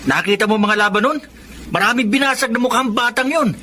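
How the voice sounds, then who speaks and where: A bright, very high-voiced big brother. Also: male voice, brisk, slight background noise. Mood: impressed, teasing. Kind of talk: Filipino radio drama acting.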